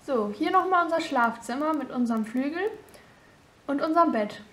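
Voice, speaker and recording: A woman's voice: high voice, animated, normal speed, a bit bright. A girl voicing a chatty young mother showing off her home. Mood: chatty cheerful. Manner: chatty, casual tour-guide talk. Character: cheerful mother of two, thirty-three-year-old housewife. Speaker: vlog host mother in a toy figure film. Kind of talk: homemade toy-figure vlog acting. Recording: roomy echo.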